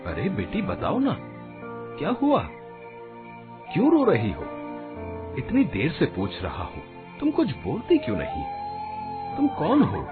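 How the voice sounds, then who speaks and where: A man's voice: fairly high pitch, huge pitch swings, measured pace, pauses often. A man playing a kindly, puzzled, hesitant seventy-year-old night guard in a horror story, on a tinny recording. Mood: concerned. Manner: concerned, hesitant. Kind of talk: Hindi horror story voice acting. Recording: tinny band-limited sound with loud music.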